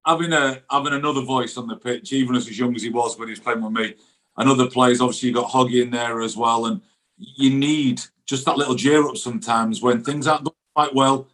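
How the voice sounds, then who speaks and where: A man's voice: mid-range voice, steady tone, fairly quick, a bit bright, poor audio. A middle-aged man, chatting casually and colloquially about football as a former professional goalkeeper. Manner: chatty, colloquial, often adds "you know what I mean".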